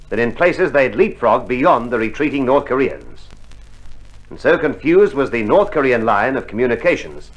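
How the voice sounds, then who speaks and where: A man reads a scripted 1960s documentary narration in a measured, formal manner. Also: medium pitch, rough recording.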